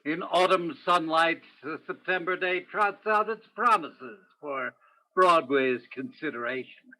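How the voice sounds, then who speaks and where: A man's voice: a little high, measured pace, sharp tone, poor audio. An actor playing a world-weary, poetic police detective, narrating his beat in brooding, clipped phrases in 1950s radio noir style. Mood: wry, bleak.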